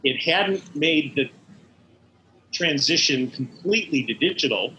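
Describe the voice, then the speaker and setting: Male animator as interview guest: bright, crisp-edged tone, explanatory and detailed, slight noise behind. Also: a little high, animated, unhurried, low-quality recording. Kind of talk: in-depth interview recollection.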